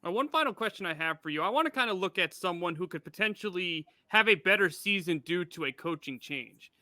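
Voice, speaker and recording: A man with a high, extremely bright and forward voice hosts a sports interview show. He talks quickly as he poses questions and speaks to his listeners. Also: lively intonation, no echo, clean studio sound.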